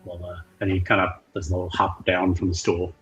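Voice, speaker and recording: A man playing a tabletop game, casually improvising narration of his character's actions in a halting way. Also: deep voice, completely flat delivery, fairly bright tone, poor audio. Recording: music behind the voice.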